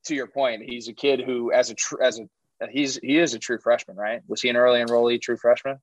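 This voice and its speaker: A man co-hosting a sports podcast, chatting casually with slang and quick interjections. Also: mid-range voice, fairly even intonation, no echo. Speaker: podcast co-host. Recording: dry, dull lo-fi sound.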